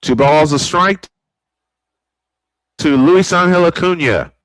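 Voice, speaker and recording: An older American man calling a baseball game in classic broadcast style, his voice bright and forward. He rattles off counts and pitches steadily and lifts sharply when a play gets close. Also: takes it slowly, no room sound, low-quality recording. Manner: old-school broadcast style, rising excitement on big plays. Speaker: play-by-play announcer.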